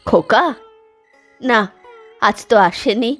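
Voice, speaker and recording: A woman's voice: fairly high pitch, slow pace, a bit bright, faint music behind. Woman acting a plaintive old village aunt. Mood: homely, affectionate. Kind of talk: cartoon voice acting.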